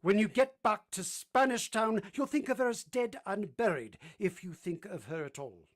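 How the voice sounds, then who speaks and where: Casting: brooding lord of the manor, abrupt and commanding, lively swooping delivery, vintage radio drama. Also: male voice, high-pitched, normal speed. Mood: weary, stern. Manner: imperious, abrupt, urgent. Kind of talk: old-time radio drama acting.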